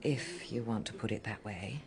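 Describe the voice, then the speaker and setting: An actress playing a confiding, regretful Englishwoman in a radio drama, speaking in a measured way with hesitant pauses. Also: rumbling low, vocal fry, a crowd in the background. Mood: guarded, candid. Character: adult female office colleague, confiding, regretful, gently forward. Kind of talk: naturalistic British radio drama acting.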